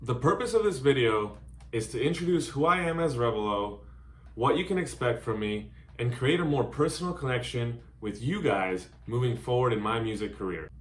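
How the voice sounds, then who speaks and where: A man's voice: mid-range voice, lively intonation, normal speed. A man in his thirties, born in Hungary, giving an earnest, carefully worded introduction of himself as a DJ and music producer. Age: 30s. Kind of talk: scripted self-introduction to camera. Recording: roomy echo.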